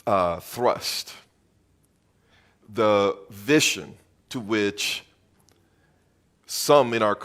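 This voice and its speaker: A man's voice: on the low side, fairly bright tone. A middle-aged man from Venezuela preaching a sermon as pastor, earnest and emphatic, repeating phrases for weight.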